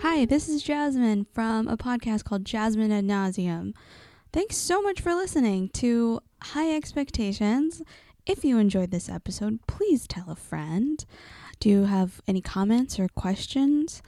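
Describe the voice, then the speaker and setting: A woman's voice: fairly high pitch, expressive, measured pace, mellow, no echo, clean studio sound. A woman reading a friendly, scripted outro for a podcast. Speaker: guest announcer.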